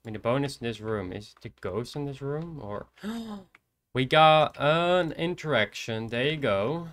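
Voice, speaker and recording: An eighteen-year-old man with a Dutch accent, quietly thinking aloud as he streams a horror game from his computer desk. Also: medium pitch, expressive, measured pace. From Netherlands. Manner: soft-spoken, hesitant, thinking aloud. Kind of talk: casual gameplay commentary. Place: indoors at a computer.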